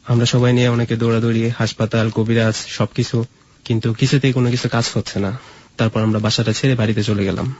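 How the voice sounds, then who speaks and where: A man's voice: mid-range voice, little pitch movement, fast, a little mellow, highs cut off, slight background noise. A man from Mymensingh in Bangladesh, an interview guest telling personal stories in a conversational way. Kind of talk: personal storytelling in casual conversation.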